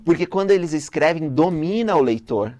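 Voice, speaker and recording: A man leading a relaxed religious lesson, asking questions and thinking aloud as he goes. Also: on the high side, a little fast, no room sound. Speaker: gospel lesson teacher. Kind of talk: casual teaching discussion.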